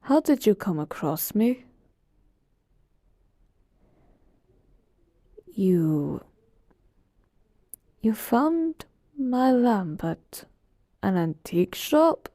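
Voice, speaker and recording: A woman's voice: medium pitch, very animated, slow, long pauses, mellow, no echo, studio quality, full character voice. A woman voicing an ancient, all-powerful genie who talks casually and teasingly to a master in a roleplay monologue. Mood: puzzled. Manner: casual, teasing, conversational asides. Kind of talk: in-character roleplay monologue to the listener.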